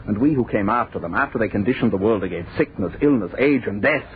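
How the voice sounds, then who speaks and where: Male actor as bitter immortal from the future, low voice, condescending and impassioned, vintage radio drama with faint noise. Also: expressive, fast, close to an everyday voice. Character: bitter, world-weary 250-year-old perfected man of a future world. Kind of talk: old-time radio horror drama acting. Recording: muffled, noisy old radio recording.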